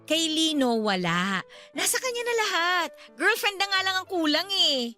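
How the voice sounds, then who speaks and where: A woman playing a bubbly, persuasive young matchmaker friend in a Tagalog radio drama, animated and coaxing. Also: very high voice, normal speed, faint music behind, full character voice. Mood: persuasive, boastful.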